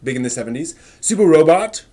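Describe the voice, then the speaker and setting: A man's voice: a little high, expressive, fast talker, warm tone. A man hosting a live stream, chatting casually with viewers in an informal, rambling way as he thinks aloud.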